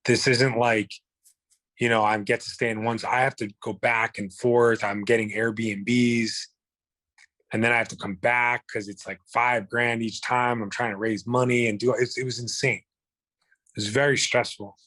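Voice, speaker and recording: A man's voice: medium pitch, level tone, a little slow, fairly bright tone, no echo. A man, telling his own illness story in a blunt, profane, rambling way. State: hoarse. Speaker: guest. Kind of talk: candid personal storytelling.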